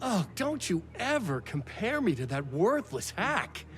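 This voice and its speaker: A man's voice: sits high, sing-song, measured pace, a little hiss or hum. An actor playing a wild, rebellious, scornful man in a video game, cocky and disdainful. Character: writer's wild, rebellious, scornful male lookalike.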